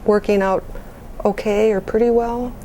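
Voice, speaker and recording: A woman playing a patient bereavement counselor on a support call, gentle, measured and reassuring in the way she talks. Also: mid-range voice, fairly even intonation, slight background noise, lightly acted, low-quality recording. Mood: gentle, probing. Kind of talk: role-played counseling phone conversation.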